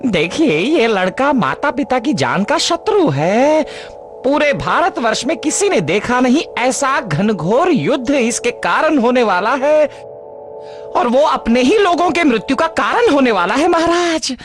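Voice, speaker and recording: High, scheming, pompous astrologer. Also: female voice, very animated. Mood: alarmed, accusing. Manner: theatrical, drawn-out, repeating words insistently. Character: scheming, pompous astrologer sage, fake and insistent. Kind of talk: animated mythological drama dub. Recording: background music under dialogue.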